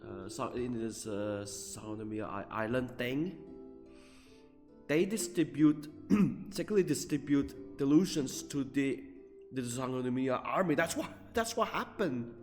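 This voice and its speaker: A man giving casual, off-the-cuff game commentary as a streamer, halting as he searches for words. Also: mid-range voice, in a large hall. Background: game music.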